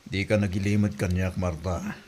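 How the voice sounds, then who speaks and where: A man's voice: low-pitched, flat delivery, moderate pace, a little mellow. A man with an Ilocano accent playing an earnest, devoted secret lover in an audio drama. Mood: tender, pleading.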